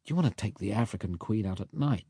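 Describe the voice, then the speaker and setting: A man voicing a rough Cockney boat mechanic who talks in blunt working-class slang. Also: lowish voice, animated, fast talker, warm tone, dry recording, exaggerated voice. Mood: earnest.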